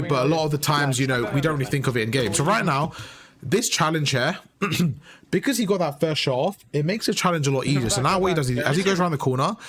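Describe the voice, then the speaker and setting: A man giving casual, slangy gameplay breakdown commentary as a video host, with a slightly croaky, sore throat. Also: a little high. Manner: casual, slangy, repeats stock phrases. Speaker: gaming YouTuber, host.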